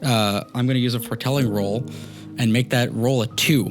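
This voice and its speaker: A man plays a tabletop roleplay game in a casual style, moving between character and game talk. His pitch is middling and music plays clearly behind him. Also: fairly even intonation, brisk. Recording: music under the voice. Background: background music.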